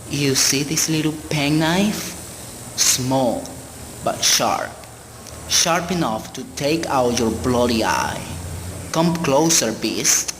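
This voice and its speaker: A man acting in a radio play as a moody husband who turns into a cruel drunkard, in a theatrical, deliberate delivery. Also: medium pitch, animated, a bit bright. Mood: menacing. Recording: noisy, long hall-like reverb.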